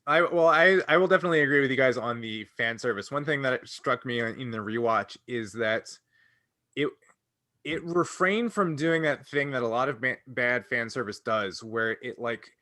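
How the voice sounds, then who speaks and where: A man's voice: medium pitch, normal speed, pushed and bright. A man co-hosting a podcast, explaining his thoughts conversationally in a casual roundtable chat. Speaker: podcast co-host.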